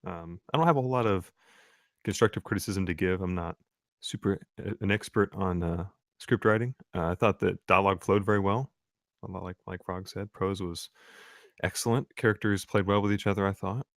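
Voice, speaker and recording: Deep-voiced man, casual and dry-sounding. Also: a little fast, dry recording. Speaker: table read participant giving script feedback. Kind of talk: casual feedback.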